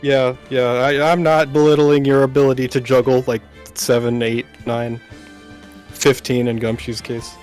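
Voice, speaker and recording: A man's voice: medium pitch, flat delivery, normal speed, darkish tone, soft background music. A man co-hosting a game playthrough, talking casually. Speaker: co-host, guest voice actor. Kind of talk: casual chat.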